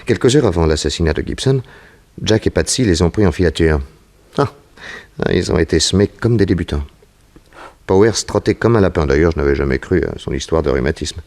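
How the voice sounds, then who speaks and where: Casting: calm private detective, low register with animated melody, reassuring, 1960s radio play. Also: male voice, brisk. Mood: explaining. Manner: composed, reassuring, probing with short questions. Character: calm, self-assured male private detective. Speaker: actor playing a detective. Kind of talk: 1960s French radio drama acting.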